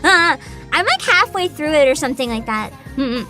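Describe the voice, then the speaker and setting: A woman hosting a casual livestream chat, giggly, excitable and expressive, with music behind her. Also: sits high, normal speed. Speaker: livestream host, VTuber.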